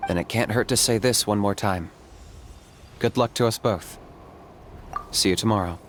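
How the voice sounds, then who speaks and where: A man plays a laconic young warrior from a video game. His voice is fairly low, and he speaks in a calm, measured, dry way. There is slight noise behind him. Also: lightly acted. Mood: easygoing. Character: cool, laconic, philosophical young male warrior.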